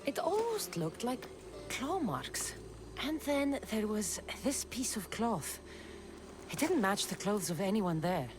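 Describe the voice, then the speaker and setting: A woman's voice: medium pitch, very animated, slow, over music. A woman voicing a tough, level-headed warrior woman in a video game, frank and firm in manner. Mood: measured, serious. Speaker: investigator and fighter. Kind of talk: video game cutscene acting.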